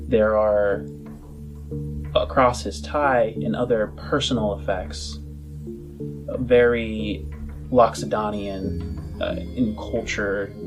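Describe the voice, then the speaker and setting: A low-pitched man narrates a tabletop roleplaying game as its game master. He speaks very slowly and descriptively, leaving dramatic pauses. Soft music plays behind him. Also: poor audio.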